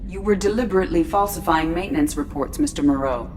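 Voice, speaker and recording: A woman's voice: lowish voice, fast, recorded from a distance, a crowd in the background, close to an everyday voice. An actress voicing a prim, composed female shipboard artificial intelligence in a video game, formal and precise. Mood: formal, reproving. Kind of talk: video game dialogue acting.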